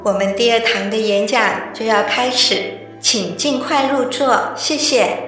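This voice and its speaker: A woman's voice: a little high, a little slow, a bit bright, soft background music. A woman playing a polite event announcer over an echoing PA in a radio drama. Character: polite event announcer calling audience to seats. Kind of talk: formal public announcement. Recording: echoing hall, distant from mic.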